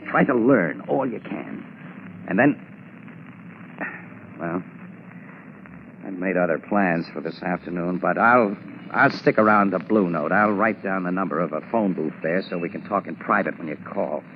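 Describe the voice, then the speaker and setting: An actor playing a streetwise, steady crime photographer in a 1940s radio drama, with casual, wisecracking slang. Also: male voice, low voice, moderate pace, long pauses. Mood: reassuring, thoughtful. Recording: muffled, noisy 1940s radio broadcast.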